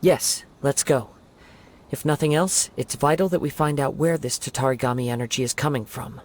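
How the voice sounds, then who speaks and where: A man voicing a calm young wandering samurai in a video game, soft-spoken, composed and reassuring. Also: medium pitch, moderate pace, a little hiss or hum, close to an everyday voice.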